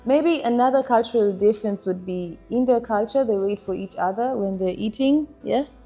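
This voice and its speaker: A young woman from Kenya, chatting casually about her marriage, animated and laughing now and then. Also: on the high side, normal speed, faint music behind. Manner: animated, laughs while telling stories. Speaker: interviewee, wife in a couple. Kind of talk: casual conversational storytelling.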